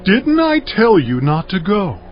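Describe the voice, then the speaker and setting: An actor playing a fervent, commanding Hebrew prophet in a dramatized scripture reading, solemn and declamatory. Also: male voice, sits high, wildly swooping pitch, measured pace, heavily acted. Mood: stern. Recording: muffled audio over faint music.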